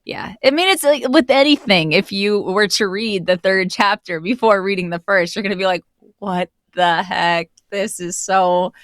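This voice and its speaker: A woman's voice: mid-range voice, normal speed, no room sound, clean studio sound. A woman speaking casually as an interview guest who narrates audio drama, explaining things in a reflective way.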